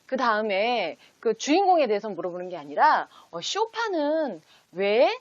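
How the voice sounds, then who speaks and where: A woman presents an instructional talk in a fairly high, very animated voice. She explains things in steps and poses sample questions as one would to a child. The sound is close and dry, with little room around it. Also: normal speed, dry recording, lo-fi sound. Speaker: host teaching parents how to read picture books with children.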